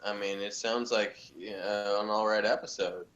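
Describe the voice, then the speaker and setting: A man chatting casually on a review panel in a hesitant, halting way. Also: lowish voice, fairly even intonation, fairly bright tone. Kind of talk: casual chat. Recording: dull lo-fi audio with hiss and clicks.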